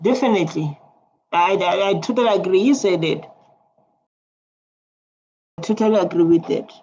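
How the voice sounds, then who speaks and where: A man's voice: sits high, moderate pace. A South African man with a Tswana accent, a native Setswana speaker, commenting casually and bluntly on clips, repeating himself. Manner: animated, blunt, repetitive. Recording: dull lo-fi sound with faint noise.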